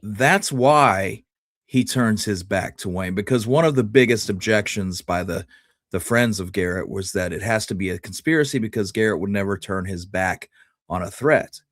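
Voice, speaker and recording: Lower-pitched man, dry sound, talking through theories. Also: moderate pace, no echo. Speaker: podcast co-host. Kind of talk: conversational historical discussion, speculating.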